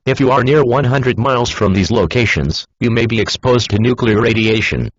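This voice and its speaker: A man's voice: mid-range voice, moderate pace, husky, dry recording. A man's synthetic text-to-speech voice reading a scripted emergency broadcast announcement in a formal, instructional manner. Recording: dull lo-fi emergency broadcast audio.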